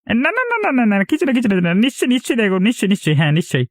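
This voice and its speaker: A man's voice: very high voice, very animated, racing, no echo, studio quality. A man voicing a greedy, bumbling village husband in a cartoon, eager and sly, with a flustered, wheedling, repetitive delivery. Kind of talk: Bengali cartoon voice acting.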